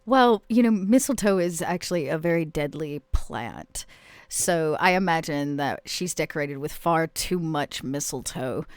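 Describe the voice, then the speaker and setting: A woman with a smooth, clear, slightly low voice talks casually as a player in a tabletop game. The sound is dry, with no room around it. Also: animated, unhurried, no echo. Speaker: player in a tabletop roleplaying show. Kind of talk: casual chat.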